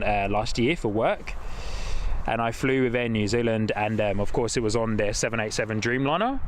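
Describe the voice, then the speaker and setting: A man from the UK chats enthusiastically while livestreaming planes outdoors. His commentary is casual and rambling at a mid pitch, and faint outdoor noise hums behind him. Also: a bit bright. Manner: enthusiastic, chatty, reads viewer comments aloud. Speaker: plane spotting livestream host. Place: outdoors near an airport.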